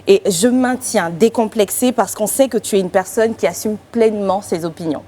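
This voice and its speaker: A woman co-host on a talk show who asks long, carefully built questions with many pauses. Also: medium pitch, mellow, slight background noise. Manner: deliberate, hesitant with fillers, long questions. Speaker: co-host panelist.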